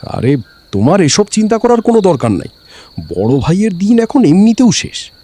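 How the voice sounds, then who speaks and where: A man's voice: high voice, huge pitch swings, moderate pace, warm tone, heavily acted. A man playing a greedy, resentful younger stepbrother in a cartoon, bitter and conspiratorial. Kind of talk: cartoon villain dialogue.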